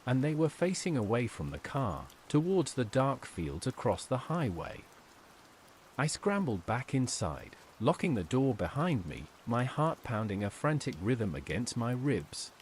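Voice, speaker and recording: A man's voice: medium pitch, big pitch swings, unhurried, a little mellow, a little hiss or hum. A man reading a scripted first-person horror story in a dramatic, suspenseful way.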